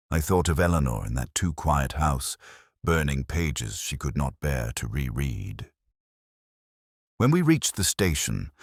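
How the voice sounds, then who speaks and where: A man reading first-person detective fiction as narrator, in a measured, reflective, literary manner. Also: rumbling low, no room sound, studio quality.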